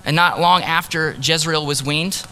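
A man preaching in the first person as a grieving ancient prophet, earnest and sorrowful, dropping to a hush on key words. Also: fairly high pitch, fairly even intonation, moderate pace, a little hiss or hum. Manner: earnest, sorrowful storytelling, drops to hushed tones on key words. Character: grieving ancient male prophet, heartbroken husband. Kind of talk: dramatic first-person sermon monologue.

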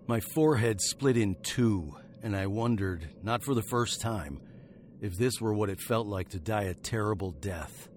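Male actor as sardonic western fugitive hero, lower-pitched, literary radio drama narration, background ambience noise. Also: measured pace, a little mellow, close to an everyday voice. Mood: grave, wry. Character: wry fugitive western outlaw hero narrating his tale.

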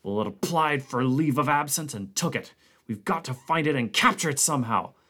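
A man voicing an angry, frightened expedition member who swears and snaps in a raised, gruff voice. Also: mid-range voice, animated, moderate pace, pushed and bright, voice pushed up.

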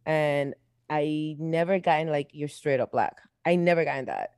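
A woman's voice: low-pitched, normal speed, darkish tone, clear, resonant tone, no room sound, studio quality. Rambling young woman, light Latin accent. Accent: light Latin American. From born outside the US. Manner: rambling, lots of fillers and restarts. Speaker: podcast co-host. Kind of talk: casual chat.

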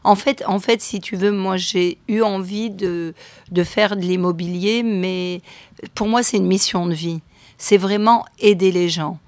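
A woman's voice: mid-range voice, fairly even intonation, a little slow, darkish tone, no echo. A middle-aged woman from France, a guest on a radio show, talking in a chatty, hesitant way with many fillers. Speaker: guest, real estate agent. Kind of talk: radio interview.

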